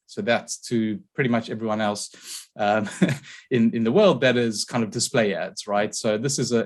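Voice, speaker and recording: A man in his thirties with a South African accent, explaining things casually as an interview guest, self-deprecating and often checking in with "right?". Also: fairly low pitch. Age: 30s. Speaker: podcast guest, tech company founder.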